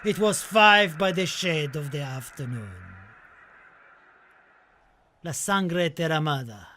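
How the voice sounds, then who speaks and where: A man's voice: on the high side, expressive, a little slow, a little hiss or hum. A man playing an impassioned, grieving Spanish poet in a radio play, reciting in a solemn, dramatic manner. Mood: anguished, impassioned. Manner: solemn, dramatic, swelling from hushed to declaimed. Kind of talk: theatrical radio play monologue and poetry recital.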